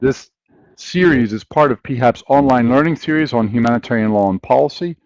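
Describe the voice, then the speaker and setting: A man hosting an online session, presenting in a measured, formal way as he introduces the event and guest. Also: medium pitch, a little mellow, no echo. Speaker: webinar host introducing a guest speaker. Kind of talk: scripted webinar introduction.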